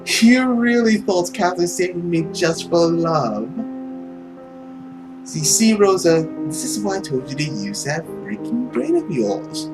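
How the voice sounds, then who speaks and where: A man voicing a smug, scornful nobleman villain in a visual novel, drawling and sarcastic with theatrical pauses. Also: sits high, big pitch swings, over music, heavily acted. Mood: mocking, condescending. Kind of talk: visual novel character acting.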